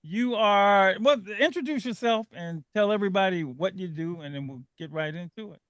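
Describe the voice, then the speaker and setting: A man hosting a live radio talk show, halting and full of restarts. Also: high voice, a bit bright, no echo. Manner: halting, many restarts and fillers.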